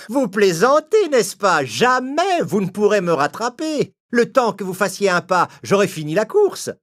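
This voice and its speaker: A performer voicing a boastful, scornful, arrogant male hare in an animated children's story. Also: medium pitch, measured pace. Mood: mocking laughter. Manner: bragging, scornful.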